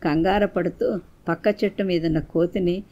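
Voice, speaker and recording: A woman's voice: lowish voice, fairly even intonation, normal speed, dark tone, muffled recording. A woman narrating a scripted moral folktale for children as a storyteller.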